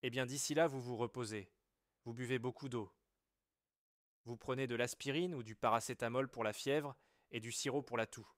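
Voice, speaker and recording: A man reading everyday French dialogues for learners, with clear, measured scripted delivery. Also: medium pitch, fairly even intonation, no room sound, studio quality, natural voice.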